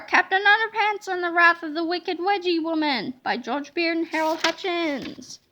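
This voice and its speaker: A woman with a very high, bright voice reads a children's book aloud. Her delivery is expressive and dramatic, like animated storytelling for young listeners. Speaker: storybook reader.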